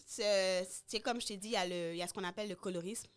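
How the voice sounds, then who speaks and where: A woman's voice: mid-range voice, fairly even intonation, quick pace, smooth. A young woman from Canada, a guest in a casual podcast chat telling a personal story with quoted dialogue. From Canada, schooled in Haiti.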